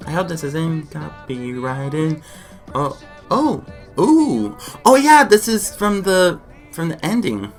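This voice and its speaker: An excitable man hosts a reaction vlog over background music. His pitch moves around in a lively way, and he tends to exclaim and repeat his words. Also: takes it slowly. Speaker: YouTube reaction host. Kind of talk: enthusiastic reaction and discussion vlog.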